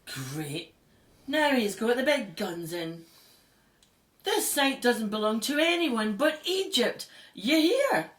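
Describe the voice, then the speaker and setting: An actress as a feisty female team leader in a radio drama. Her fairly high voice is assertive and very lively, set in a roomy, echoing space. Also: takes it slowly. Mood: defiant. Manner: assertive, indignant. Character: feisty, determined woman archaeologist leading her team. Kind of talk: audio drama acting. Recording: echoey, roomy sound.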